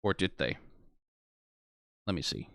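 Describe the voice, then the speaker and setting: A man giving off-the-cuff reaction commentary, informal and emphatic with casual swearing, rambling and halting as if short on sleep. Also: a bit bright, no room sound. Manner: rambling, halting, trailing off mid-thought. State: tired after being awake for twenty hours. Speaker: host of a reaction video.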